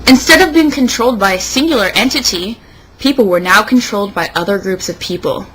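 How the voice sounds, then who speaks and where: A woman's voice: medium pitch, expressive, fairly quick, a bit bright, slight background noise, rough recording. A young American woman reading aloud in a mock-dramatic, sarcastic way with casual asides. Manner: sarcastic, emphatic delivery. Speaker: co-host.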